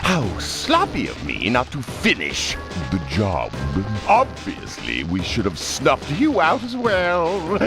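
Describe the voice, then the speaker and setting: Pompous frog villain, wildly swooping pitch. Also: male voice, huge pitch swings, gravelly, over music, heavily acted. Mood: mock-polite menace. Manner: theatrical, mock-polite, drawn-out, bursting into shouts. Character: pompous male frog crime boss, aristocratic, explosive temper. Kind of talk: cartoon video game villain acting.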